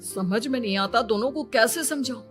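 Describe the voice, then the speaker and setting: A woman's voice: fairly high pitch, moderate pace, soft background music, lightly acted. A woman voicing a harried young husband in a cartoon, an exasperated and pleading peacemaker. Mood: weary. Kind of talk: cartoon dialogue.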